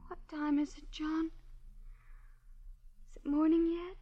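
An actress playing a naive, pampered young heiress in an old American radio drama, airy and blithe. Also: female voice, high-pitched, little pitch movement, slow, dark tone. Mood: wistful, subdued. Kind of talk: 1940s-50s American radio drama acting. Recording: muffled, noisy vintage radio recording.

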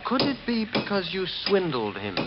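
An actor playing a skeptical, impatient, domineering nephew in a 1940s radio mystery, speaking firmly and brusquely. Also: male voice, sits high, huge pitch swings, normal speed, over music. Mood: stern, accusing. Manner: firm, brusque, dramatic. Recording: muffled, noisy old radio recording.

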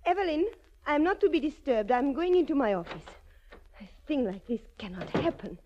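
An actress playing a genteel, anxious dress-shop madame in a 1940s radio drama, formal and refined. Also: female voice, high-pitched, very animated, moderate pace, slight background noise. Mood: anxious, upset. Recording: muffled 1940s radio broadcast recording.

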